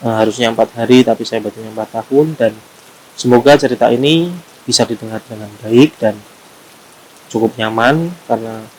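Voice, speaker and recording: A man's voice: medium pitch, moderate pace, a little mellow, a little hiss or hum, rough recording. A man with a Javanese accent reading a horror story aloud unrehearsed, with small slips and restarts, then chatting casually to his listeners. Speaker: horror story reader and channel host.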